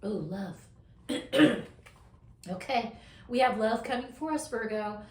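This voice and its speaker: A woman doing a casual weekly tarot reading, encouraging and affirming, her voice going hoarse as she talks. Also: medium pitch, lively intonation, reverberant. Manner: encouraging, affirming, drawn-out emphasis. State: losing her voice, coughing. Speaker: tarot reader.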